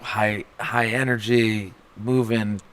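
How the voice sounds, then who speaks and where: A man's voice: on the low side, flat delivery, slow, a bit bright, clean tone. A man co-hosting a casual interview, enthusiastic and affirming, swearing casually now and then. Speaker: podcast co-host.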